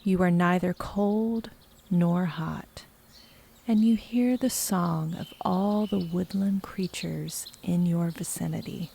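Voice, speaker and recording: Casting: female meditation guide, unhurried and breathy, lowish voice with heavy creak, soft half-whispered narration. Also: fairly even intonation, a little hiss or hum. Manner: soft, slow, partly whispered.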